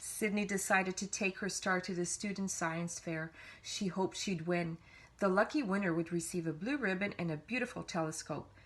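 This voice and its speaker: A woman reads a children's storybook aloud in an animated way, leaning on key words with dramatic emphasis. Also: lowish voice, normal speed, a little hiss or hum, poor audio. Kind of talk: expressive read-aloud storytelling.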